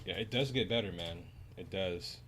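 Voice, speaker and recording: Deep-voiced laid-back male streamer. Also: moderate pace, a bit bright, slight background noise. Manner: laid-back, rambling, lots of "man" and "you know". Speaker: game streamer. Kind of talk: casual livestream chat.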